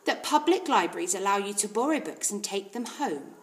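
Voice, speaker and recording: A woman's voice: medium pitch, lively intonation, fairly quick, close to an everyday voice. A woman voicing a kindly, encouraging female village librarian in a storybook reading. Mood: helpful. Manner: gentle, encouraging. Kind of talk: storybook character voice.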